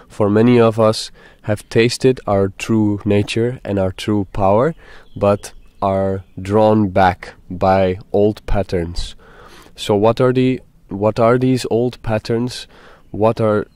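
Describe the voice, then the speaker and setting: A man leading a guided meditation, speaking in a deliberate, halting way with pauses. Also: fairly low pitch, darkish tone, no echo.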